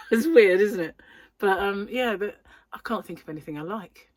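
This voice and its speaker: Chatty, wheezy British woman with a lively voice. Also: medium pitch, moderate pace, no room sound. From United Kingdom. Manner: chatty, self-deprecating, laughs often. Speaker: crochet channel host. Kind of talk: casual chat to camera.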